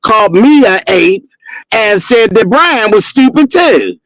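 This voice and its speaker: Blunt, sassy man in his fifties with a high voice, recorded dry and close. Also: animated, measured pace, fairly bright tone, dry recording, low-quality recording. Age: 50s. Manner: sassy, blunt, gossipy with cussing. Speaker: guest on a live stream. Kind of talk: casual chat and storytelling.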